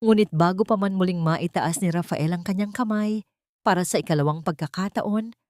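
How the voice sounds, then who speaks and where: A woman's voice: fairly low pitch, fairly quick, a little mellow, dry recording, clean studio sound. A woman reading scripted dramatic story narration in a solemn manner.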